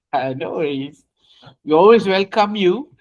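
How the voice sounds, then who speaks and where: High-voiced Malaysian male webinar host. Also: animated, takes it slowly, lo-fi sound. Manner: mixes English and Malay, often repeats phrases. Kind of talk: casual welcoming chat with participants. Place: online video call.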